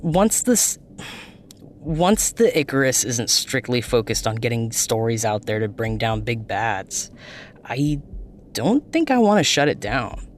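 Earnest young blogger, lively delivery. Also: medium pitch, measured pace, slight background noise. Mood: earnest, hopeful. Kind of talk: naturalistic audio drama dialogue.

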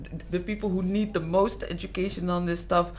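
A woman's voice: lowish voice, little pitch movement, a little fast, a little mellow, a little hiss or hum. A woman from the Netherlands guesting on a podcast, chatting frankly with lots of giggles. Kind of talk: casual chat. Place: studio.

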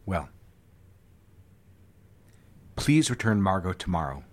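An actor playing an earnest, formal businessman in an audio drama, a man with a husky voice. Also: low-pitched, big pitch swings, slow, heavily acted. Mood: cold, curt. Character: businessman courting his secretary, earnest, turning curt when rebuffed. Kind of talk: dramatic audio fiction phone dialogue.

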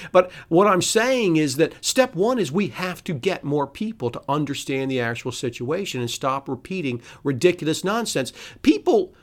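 A man's voice: on the high side, big pitch swings, normal speed. A middle-aged man hosting a podcast, delivering a solo commentary full of sarcastic rhetorical questions and scoffing laughs. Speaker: podcast host. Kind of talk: solo political commentary monologue.

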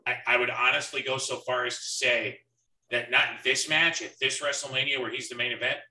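A man's voice: mid-range voice, steady tone, a little fast, sharp tone. A man in his early thirties chatting casually as a podcast co-host during a wrestling watch-along. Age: early 30s. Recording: echoey room, distant from mic.

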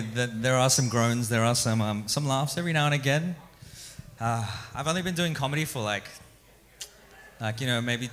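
A man's voice: medium pitch, a bit bright. A young man doing a live stand-up set on a stage mic, self-deprecating and loose, stumbling and restarting as he goes. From Philippines, raised in Australia. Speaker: stand-up comedian. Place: live venue with an audience. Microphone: stage microphone.

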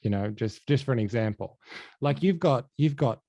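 A man asks his questions in a loose, halting way, circling through fillers and restarts before he lands. His tone is dark and mellow. The sound is dry and somewhat muffled. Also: medium pitch, expressive, dry recording. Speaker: podcast interviewer.

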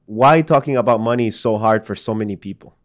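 A man's voice: fairly low pitch, fast. A man from El Salvador hosting an interview, talking casually with frequent "like" and "right?". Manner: casual, uses "like" and "right?" often. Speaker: podcast host. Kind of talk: conversational interview.

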